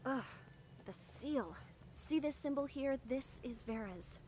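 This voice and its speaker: A woman's voice: sits high, expressive, slow, noisy background. A woman voicing a young female rodent sidekick in a game, sarcastic and exasperated. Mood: solemn.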